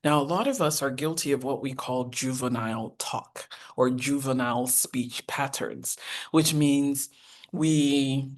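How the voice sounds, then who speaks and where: A woman, teaching a professional etiquette masterclass in an earnest, encouraging way with deliberate enunciation. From United States. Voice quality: deep voice. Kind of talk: masterclass lecture.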